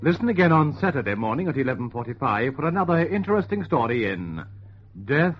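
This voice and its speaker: A man's voice: mid-range voice, very animated, brisk. A man reading scripted continuity announcements for a radio station. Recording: dull old radio recording with music.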